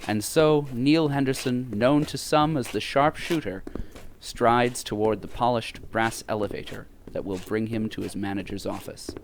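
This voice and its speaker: A man playing a grandiose narrator of a satirical audio drama, telling it deliberately and dramatically. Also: mid-range voice, a little hiss or hum, lightly acted, poor audio. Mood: mock-epic suspense. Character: grandiose storyteller narrator of a satirical dystopian tale.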